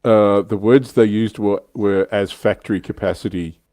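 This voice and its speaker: A man's voice: lowish voice, steady tone, normal speed, dark tone, no room sound, clean studio sound. A man with an Australian accent hosting a livestream in a casual hobby discussion. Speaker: livestream host.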